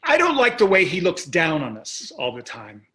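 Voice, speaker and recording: Animated, curmudgeonly older husband played by a man. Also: fairly high pitch, moderate pace, exaggerated voice. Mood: exasperated. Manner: glib, dismissive. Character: wealthy, breezy, curmudgeonly older husband and father. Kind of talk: staged play reading.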